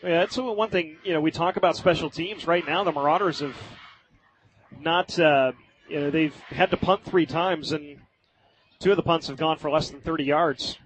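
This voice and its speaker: An American man doing live radio play-by-play of high school football in vivid, colloquial language. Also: moderate pace, a little hiss or hum, rough recording.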